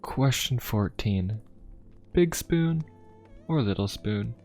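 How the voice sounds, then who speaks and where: A man playing a courteous, inquisitive young pope of a steampunk fantasy world in an ASMR roleplay, speaking politely, formally and in a gently musing way. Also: medium pitch, lively intonation, takes it slowly. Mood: musing, friendly. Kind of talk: ASMR roleplay addressed to the listener. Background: music.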